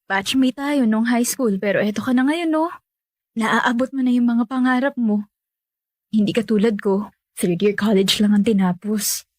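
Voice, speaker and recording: A woman's voice: on the high side, moderate pace, darkish tone, no echo, studio quality, lightly acted. A young woman playing a resigned, dutiful family caretaker in natural radio drama dialogue, self-effacing and accommodating. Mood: admiring, envious.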